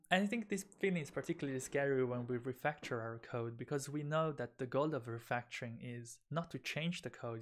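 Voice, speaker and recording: Man, 30s, software developer, casual webcam talk, conversational with many fillers. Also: medium pitch. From Italy. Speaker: software developer giving a recorded conference talk. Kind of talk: recorded tech talk presentation.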